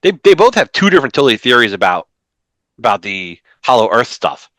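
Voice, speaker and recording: A man in his thirties co-hosting a comics podcast from home over a video call, talking in an animated way with many restarts. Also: mid-range voice, no room sound. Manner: animated, talks fast with frequent restarts. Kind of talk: casual chat.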